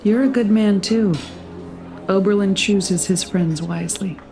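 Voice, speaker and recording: A woman's voice: mid-range voice, measured pace, vocal fry, dark tone. An actress playing a composed, resolute woman in a naturalistic audio drama, teasing and wry but a little guarded. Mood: guarded, gentle.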